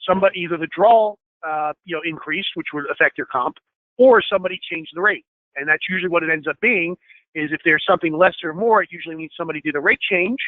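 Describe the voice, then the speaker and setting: A man leading a software training session over a screen share, explaining step by step with many fillers, slightly hoarse and clearing his throat. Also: on the high side, fast talker, no room sound. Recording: tinny phone-like line.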